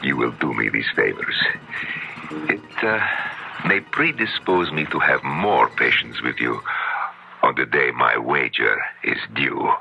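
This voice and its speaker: Deep, gravelly, coldly controlling man. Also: lively intonation, over music, full character voice. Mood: cold, menacing. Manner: formal, measured, deliberate. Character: menacing, coldly controlling man demanding favors of a woman. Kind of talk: 1970s radio drama acting. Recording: dull lo-fi old radio recording.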